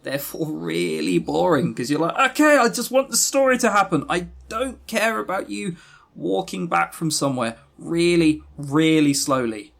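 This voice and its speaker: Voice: medium pitch, big pitch swings, moderate pace, vocal fry. A podcast co-host chatting casually about games in an exasperated, emphatic, sweary way. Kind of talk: casual visual novel review chat.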